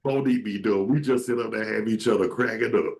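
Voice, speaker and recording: A man with a deep voice that carries, hosting a casual video chat and telling jokey stories. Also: quick pace. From Atlanta, Georgia, USA. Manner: jokey storyteller who acts out quoted voices. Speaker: host. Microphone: video call.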